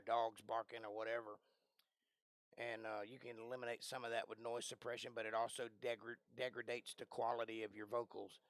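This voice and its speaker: A man with a raspy, worn voice that he is losing hosts a casual livestream from a cramped bedroom. He talks into a close desk mic that leaves the sound dry, and he rambles while often clearing his throat. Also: medium pitch, fairly bright tone, dry recording. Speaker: livestream host. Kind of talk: casual live-stream chat. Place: small bedroom. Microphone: close desk microphone.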